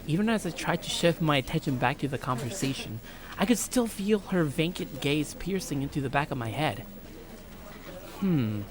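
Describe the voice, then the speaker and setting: A man's voice: fairly high pitch, animated, normal speed, crowd noise. A young man reading a visual novel aloud as a let's play host, with irreverent, jokey, sweary casual commentary. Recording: game music behind. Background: music.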